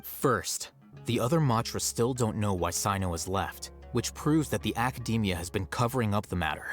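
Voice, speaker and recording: Low, methodical adviser, acted by a man. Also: close to an everyday voice. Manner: orderly, explanatory. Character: calm, methodical male adviser. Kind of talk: video game voice acting.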